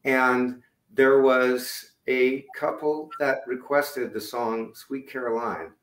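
A middle-aged man with a low voice, chatting loosely about his music over a video call, with many fillers and restarts. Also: fairly even intonation, takes it slowly, a bit bright. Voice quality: lower-range voice. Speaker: musician guest. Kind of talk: casual interview chat. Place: home room indoors. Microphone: video call webcam. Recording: echoey room on webcam.

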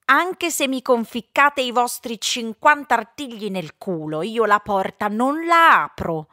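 A screechy, stubborn male chimpanzee from a storybook, acted by a woman. He taunts in a raised, shrill pitch. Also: animated, unhurried, sharp tone, no echo, studio quality. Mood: stubborn, indignant.

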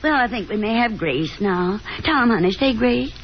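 A woman's voice: fairly high pitch, expressive, moderate pace. A woman playing a chatty, genteel middle-aged Southern mother, fussy and nostalgic, in a 1950s radio drama. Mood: fussy, insistent. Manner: garrulous, affected gentility. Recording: muffled, noisy 1950s radio recording.

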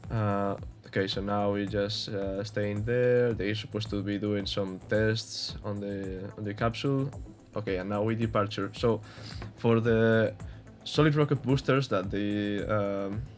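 Young spanish guy talking over his space game, lowish voice, lots of ums, faint music behind. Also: fairly even intonation, unhurried, hardly any pauses. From Spain. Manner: hesitant, many fillers and restarts, unsure hedging. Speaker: gaming YouTuber. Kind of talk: casual gameplay commentary.

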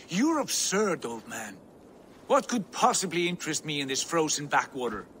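A zealous, haughty Viking prince for a video game. His voice sits a little high and cuts sharply, delivered as grand formal oratory. A faint noise lies behind it. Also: very animated, a little slow, fairly bright tone. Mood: cold, scornful. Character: proud, fervent Viking prince obsessed with glory, scornful of his father. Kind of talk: dramatic video game acting.

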